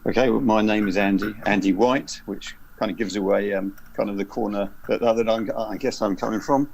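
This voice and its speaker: A man's voice: fairly low pitch, steady tone, brisk, vocal fry, a little mellow, a little hiss or hum, low-quality recording. A man in his fifties from southeast England, speaking hesitantly on a video call panel with many fillers. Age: 50s. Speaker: panelist, church member.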